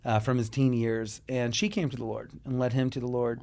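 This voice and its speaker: A man from Israel speaks in a mid-pitched voice as an interview guest. His delivery is earnest and halting, full of restarts and small fillers as he gathers his thoughts. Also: flat delivery, vocal fry, a little mellow. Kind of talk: conversational interview.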